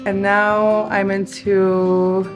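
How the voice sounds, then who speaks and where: A woman's voice: mid-range voice, little pitch movement, extremely slow. Woman from France, DJ and singer, mid-range voice, easygoing and chatty, guitar music behind. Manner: easygoing, chatty, laughs often. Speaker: DJ and singer being interviewed. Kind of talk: casual chat.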